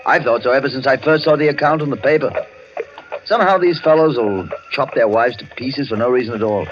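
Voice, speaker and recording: A man acting in a radio drama as a hearty, talkative colonial gentleman who uses exclamatory, old-fashioned British turns of phrase. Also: mid-range voice, fairly quick, lightly acted. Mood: chatty, confident. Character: hearty, talkative colonial gentleman, an eager host and sleuth's companion. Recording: dull, lo-fi radio drama recording.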